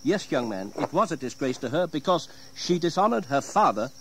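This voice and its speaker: An actor playing a stern, weary gentleman father in an audio drama, reproachful and formal. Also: on the high side, big pitch swings, fairly quick, slight background noise, poor audio. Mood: scolding. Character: stern, weary gentleman father scolding his wayward son.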